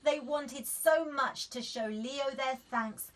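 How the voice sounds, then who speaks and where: Woman reading kids a bedtime story, high voice, takes it slow, sat a bit back from the mic. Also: distant mic.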